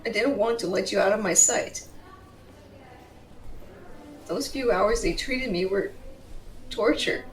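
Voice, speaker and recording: A woman's voice: mid-range voice, slow pace, long pauses, distant mic, slight background noise, close to an everyday voice, rough recording. A woman playing a fiercely protective, tender tsundere girlfriend in an intimate, emotional roleplay audio, blunt in her affection. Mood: tender, vulnerable. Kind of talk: dramatic roleplay audio, intimate second-person acting.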